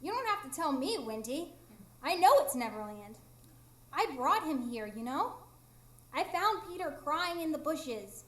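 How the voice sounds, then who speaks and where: A proud fairy with a very high voice, stuck living as a human. She is plaintive and emphatic, wounded at heart, acting in a live radio play in an echoing room. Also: very animated, unhurried, a bit bright, heavily acted. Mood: bitter, hurt. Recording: roomy live stage performance.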